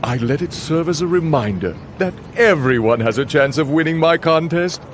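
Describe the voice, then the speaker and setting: An actor playing a sinister contest master in a video game, narrating dramatically in a theatrical, grandiose, measured manner. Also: male voice, a little high, expressive, background noise, natural voice. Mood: mocking storytelling.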